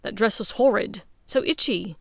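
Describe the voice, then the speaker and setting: Young wizard son, audiobook, played by a woman: begrudging, awkward, halting, embarrassed, middling pitch for a woman. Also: vocal fry, no room sound. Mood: grumbling.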